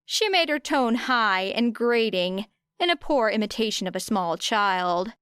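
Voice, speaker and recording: A woman's voice: a little high, expressive, a little slow, a bit bright, smooth, no echo, studio quality. A woman reading scripted story narration as an audiobook narrator.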